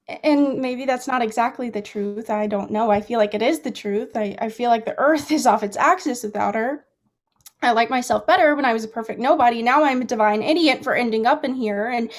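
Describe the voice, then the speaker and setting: A woman's voice: sits high, natural voice. A young woman in a staged play reading, acting a guarded, lovesick girl with a hesitant, confessional delivery.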